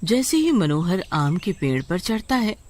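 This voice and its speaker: Animated woman narrator with a lowish voice. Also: unhurried, a little hiss or hum. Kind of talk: scripted Hindi cartoon story narration.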